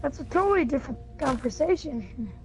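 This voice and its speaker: High-voiced young woman chatting over game music. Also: lively intonation, brisk. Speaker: gamer streaming with a friend. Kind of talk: casual chat while gaming.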